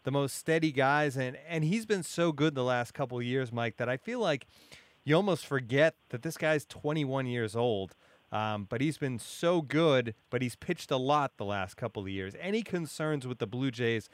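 Male podcast host, mid-pitched, clean resonant voice, dry sound, conversational sports discussion. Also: animated, moderate pace, no room sound.

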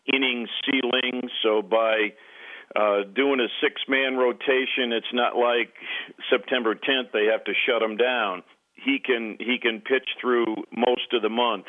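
A male radio broadcaster giving sports analysis as an interview guest, haltingly and with repeated words. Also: medium pitch. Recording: tinny, narrow phone-like line.

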